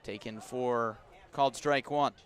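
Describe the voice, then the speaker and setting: A man doing live radio baseball play-by-play, describing each pitch in detail and filling in with player stories. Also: measured pace. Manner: descriptive, storytelling between pitches, rising to excited calls on big plays.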